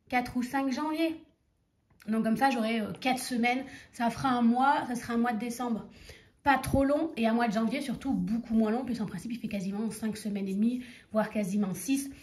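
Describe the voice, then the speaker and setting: A woman in her thirties with a cold and a stuffy nose, chatting casually to her viewers and counting out cash. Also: a little high. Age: 30s. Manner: chatty, rambling, counts money aloud. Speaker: budgeting vlogger. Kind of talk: casual chat to camera.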